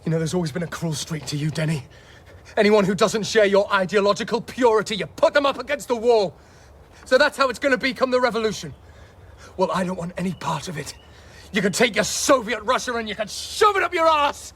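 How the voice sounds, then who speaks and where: A man's voice: high voice, expressive, fairly quick, a bit bright, slightly rough, exaggerated voice. A man acting in a radio drama as an earnest, wounded young husband and doctor, pleading and aggrieved. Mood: hurt, indignant.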